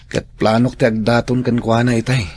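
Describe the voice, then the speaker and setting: A man acting a kind young man in love in an Ilocano radio drama, speaking softly and earnestly with an Ilocano accent. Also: mid-range voice, flat delivery, a little fast, natural voice. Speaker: drama character Ronnie.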